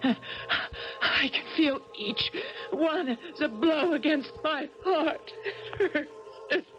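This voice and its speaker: An actress playing a frightened young woman haltingly narrating a nightmare vision in a 1970s radio drama. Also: high-pitched, animated, a bit bright, faint music behind, heavily acted. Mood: anguished. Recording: muffled old radio broadcast.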